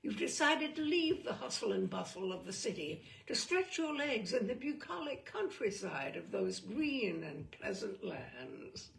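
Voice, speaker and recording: A woman reading a humorous book aloud as a storyteller, in a deliberate, theatrical and wry manner. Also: medium pitch, very animated, creaky, a bit bright. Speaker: reader of humorous book selections. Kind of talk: dramatic storytelling read-aloud. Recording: distant microphone.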